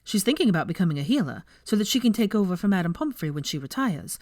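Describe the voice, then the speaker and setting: A woman's voice: mid-range voice, very animated, fast, a little mellow, no room sound, studio quality. A woman voicing an amiable, sheepish young man in a story reading, earnest and easygoing. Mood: happy, earnest.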